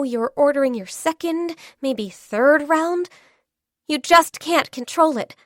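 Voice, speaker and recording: Sweet polite young secretary girl from a video game, high soft voice, bit hesitant, super dry sound. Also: no echo. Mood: sheepish, confiding. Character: gentle, earnest young woman secretary, modest and easily flustered. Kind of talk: scripted video game character voice lines.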